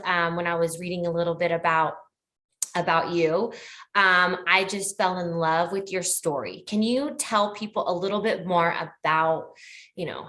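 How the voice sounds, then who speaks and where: Bright-voiced woman podcast host. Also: fairly low pitch. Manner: upbeat, gushing welcome. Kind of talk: scripted podcast introduction.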